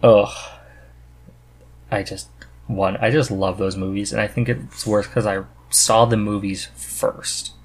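A man hosting a podcast in a casual, informal chat, thinking aloud in halting phrases. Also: fairly low pitch, steady tone, a little hiss or hum. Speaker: podcast host. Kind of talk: casual conversational chat about hobbies.

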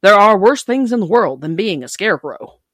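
A woman's voice: medium pitch, lively intonation, normal speed, fairly bright tone, no echo, studio quality, voice pushed up. A woman voicing a mild, contented straw man in a storybook reading.

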